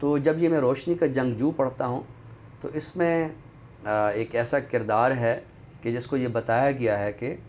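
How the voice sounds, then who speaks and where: A man with a Pakistani accent discussing books in a deliberate, scholarly way, often pausing mid-sentence. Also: medium pitch, steady tone, darkish tone. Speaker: literary show presenter. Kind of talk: book discussion in Urdu literary talk. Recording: noticeable background noise.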